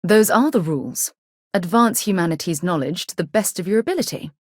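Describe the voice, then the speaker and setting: Articulate, lower-voiced woman space explorer. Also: animated, a little fast, dry recording, studio quality. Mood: earnest, measured. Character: principled, earnest woman explorer and space companion. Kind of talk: video game companion dialogue.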